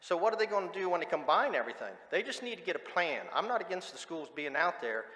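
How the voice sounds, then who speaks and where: An older man testifying as a resident at a public hearing, blunt and halting, repeating words as he goes. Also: high-pitched, sing-song, sharp tone. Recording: echoey hall with long reverb.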